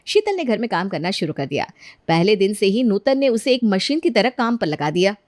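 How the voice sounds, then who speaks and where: A woman's voice: mid-range voice, very animated, fairly quick, a little mellow, no echo, clean studio sound. A woman narrating a scripted cartoon bedtime story as the storyteller.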